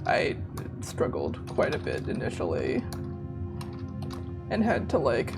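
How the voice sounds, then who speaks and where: A slightly hoarse woman chatting casually to her viewers while she plays a game, rambling and laughing as she goes. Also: a bass voice, huge pitch swings, slow. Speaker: streamer. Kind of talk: casual live-stream chat while gaming. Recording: game music playing behind.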